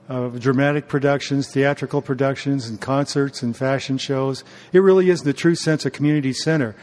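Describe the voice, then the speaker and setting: A man speaking in a measured, casual way in an interview, often pausing with fillers and clearing his throat. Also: fairly high pitch, a little mellow.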